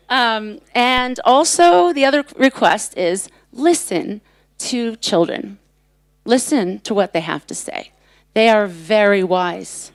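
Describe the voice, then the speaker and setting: Style: rich. A woman of middle pitch talks with earnest emphasis as a panelist. Her voice is recorded dry and close, with no room sound. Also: lively intonation, slow pace, no echo. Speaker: panelist, children's workshop leader. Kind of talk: panel talk. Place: conference stage.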